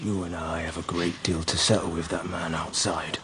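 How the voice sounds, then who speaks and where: An actor playing a desperate, vengeful, ruined father in a radio drama, brooding and intense, with a gravelly voice. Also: sits low, flat delivery, normal speed, a loud crowd, lightly acted. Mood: menacing.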